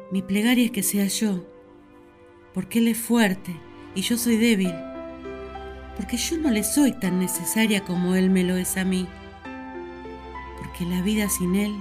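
An actress playing a devoted, thoughtful wife in a radio play, speaking in an earnest, reflective, measured way. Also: female voice, medium pitch, close to an everyday voice. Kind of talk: radio play monologue, dramatized diary.

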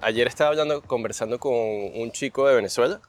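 A thirty-five-year-old man hosting an interview podcast, narrating in a measured way and chatting casually with his guest. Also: mid-range voice, soft background music. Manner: calm, measured narration; easygoing in chat. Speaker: podcast host.

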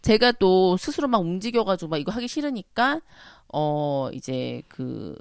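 A woman's voice: fairly low pitch, big pitch swings, unhurried, clean tone, dry recording, studio quality. A woman telling a personal story in casual chat, dramatically acting out her own remarks with emphatic repetition. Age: 40s.

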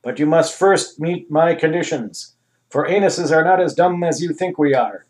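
A man doing a booming, pompous talking anus tyrant. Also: natural voice, low-quality recording. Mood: grandiose. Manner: grandiose, slow, commanding declarations. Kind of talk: comic storybook reading.

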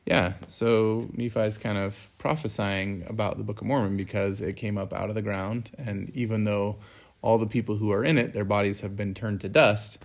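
A middle-aged man casually explaining scripture in a family discussion, halting as he thinks aloud. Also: fairly low pitch, steady tone, creaky. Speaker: family scripture reading host.

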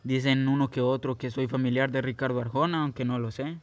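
Casting: young Guatemalan man, loose rambling storyteller, mid-pitched, dull home-mic sound. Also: steady tone, fairly quick, no echo. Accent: Guatemalan Spanish. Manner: rambling, hesitant, many restarts and fillers. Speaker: podcast host. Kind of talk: casual personal storytelling.

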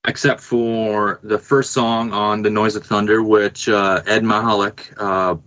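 Man, lowish pitch, musician guest, casual interview chat, halting, thinking aloud. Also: level tone, a bit bright, dull audio.

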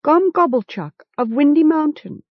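A woman's voice: high voice, lively intonation, moderate pace, dry recording, muffled recording, pitched above the performer's own voice. A woman voicing a frightened but defiant boy in a storybook, with indignant, emphatic protests. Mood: guarded defiance. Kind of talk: storybook character acting.

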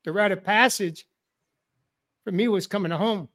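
A high-pitched man from the New York area hosts a men's talk show. He tells his story in a preacherly way and hammers key phrases through repetition. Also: moderate pace, a bit bright. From New York area, USA. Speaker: host. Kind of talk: heartfelt personal sharing on a men's talk show.

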